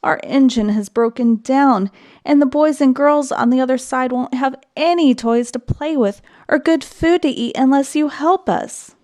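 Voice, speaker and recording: A woman's voice: a little slow, a little mellow. A woman voicing small, earnest, childlike dolls and toys in a storybook, pleading for help. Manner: high, pleading.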